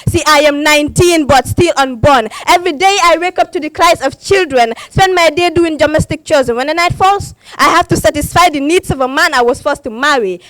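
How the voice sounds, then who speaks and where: A woman's voice: sits high, a bit bright, no room sound. Impassioned young woman slam poet. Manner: impassioned, with a repeated refrain and rising emphasis. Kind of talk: spoken word poetry performance.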